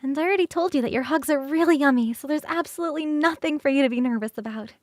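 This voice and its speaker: A high, girlish succubus roommate, played by a woman in an ASMR roleplay, recorded close and dry. She is affectionate and playful, coaxing the listener with expressive, halting delivery. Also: no echo, natural voice. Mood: reassuring, amused. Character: affectionate, playful succubus roommate, young-sounding, eager to cuddle. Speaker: streamer performing scripted roleplay.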